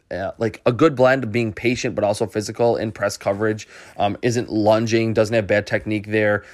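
A man hosting a sports podcast, giving off-the-cuff solo football analysis in clipped phrases with thinking pauses. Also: lowish voice, darkish tone. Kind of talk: off-the-cuff solo football commentary, answering questions.

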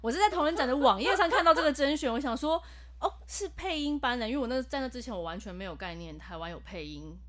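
A woman voice actor, explaining her work in a casual podcast chat. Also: high voice. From Taiwan. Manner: fast, explanatory and animated.

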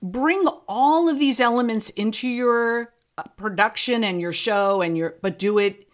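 A woman's voice: a little high, unhurried. A woman hosting a podcast, talking casually and conversationally. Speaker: podcast host; fiction podcast creator.

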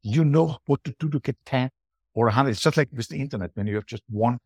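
A man's voice: mid-range voice, fast, darkish tone, husky, no echo, studio quality. A middle-aged or older man with an Austrian accent and German as his native language, giving emphatic, conversational answers as an interview guest. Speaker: podcast guest, booking strategist.

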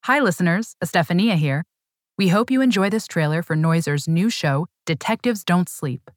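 A woman host reading a short scripted ad break in a friendly, brisk way. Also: lowish voice, expressive, no echo, studio quality. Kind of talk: scripted ad read.

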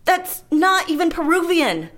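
Suspicious threatening woman in audio comedy, dry, skeptical, very lively pitch. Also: measured pace, heavily acted. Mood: distrustful, threatening. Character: distrustful, menacing woman bargaining over a faked death. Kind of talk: comedic audio drama acting.

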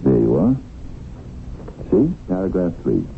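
Crooked male businessman, low voice with big swings, officious, on muffled, hissy vintage radio. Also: very animated, unhurried, vocal fry, full character voice. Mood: smug, oily. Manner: mock-courteous, pedantic, curt with staff. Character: sly, stingy, smoothly officious swindling businessman. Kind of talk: 1970s radio drama acting. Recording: muffled, noisy 1970s radio broadcast.

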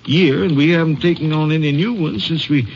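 An actor playing a shaken, earnest bank vice president in a 1950s radio drama, with a hesitant, stammering American delivery. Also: male voice, on the high side, fairly quick, lightly acted. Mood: earnest, troubled. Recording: muffled old radio recording, faint noise.

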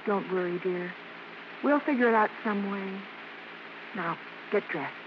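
An actress playing a gentle, soothing mother who works on the stage, in vintage radio drama style. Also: mid-range voice, unhurried, noisy background, close to an everyday voice. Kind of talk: 1940s-50s radio drama acting. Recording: dull vintage radio recording with music.

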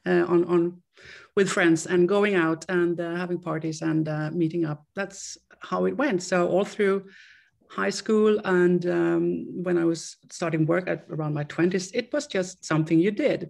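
A woman in her fifties from Sweden with a Swedish accent, a guest telling her story in a casual interview, halting and repeating words. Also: on the low side, creaky, a little mellow.

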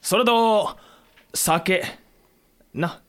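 An actor playing a cool, shrewd samurai commander who is gruff but caring, speaking tersely in a Japanese period audio drama. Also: male voice, high voice, drawn-out words, a bit bright, heavily acted. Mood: confident.

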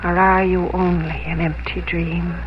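An actress playing a gentle, dignified young schoolteacher in a 1950s American radio drama, speaking earnestly and formally. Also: female voice, lowish voice, little pitch movement, natural voice. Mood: wistful. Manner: earnest, measured, formal phrasing. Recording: muffled, noisy old radio recording.

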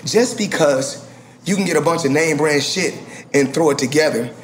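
A man's voice: fairly high pitch, normal speed, slight background noise. A man, talking casually and boastfully, rambling with many restarts. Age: 40s. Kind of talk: casual podcast chat.

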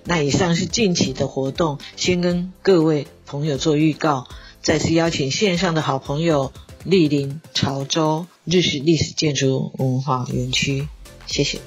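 A low-pitched woman delivers a formal, prepared announcement in Taiwanese Mandarin, in the role of an office director. Faint music plays behind her. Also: fairly even intonation, unhurried. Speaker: local culture and tourism office director.

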